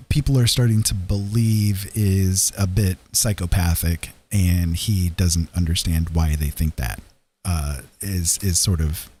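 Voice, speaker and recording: A man hosting a livestream, chatting casually in a halting, rambling way. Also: fairly low pitch, mellow, husky. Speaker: livestream host.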